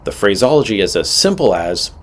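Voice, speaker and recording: A man's voice: fairly low pitch, very animated, a little fast. A man narrating a scripted training lesson as an instructor, in a direct, matter-of-fact way with emphatic points. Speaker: instructor presenting a pilot training video.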